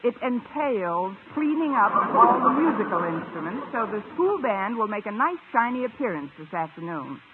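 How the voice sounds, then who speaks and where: A woman playing a wry, wisecracking high-school English teacher in a 1950s radio comedy, with dry, sardonic delivery. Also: big pitch swings, moderate pace, hardly any pauses. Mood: friendly, wry. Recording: very noisy, tinny old radio recording.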